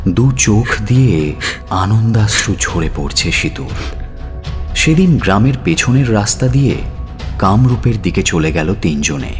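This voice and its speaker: A man reading a scripted audio story as a dramatic narrator. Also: sits low. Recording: music under the voice. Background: background music.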